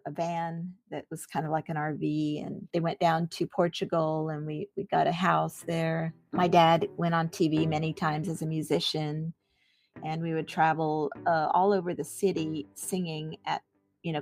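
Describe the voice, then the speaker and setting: A woman's voice: sits low, vocal fry, a little mellow, dry recording. A middle-aged woman, a podcast guest, telling her childhood story in an earnest, reflective way. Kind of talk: personal interview recollection.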